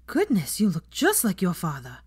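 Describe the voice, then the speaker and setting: A woman's voice: lowish voice, wildly swooping pitch, normal speed, slightly rough, exaggerated voice. A woman voicing a stern, bossy but doting elderly woman in a story reading. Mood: fussing, welcoming. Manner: stern, snappy.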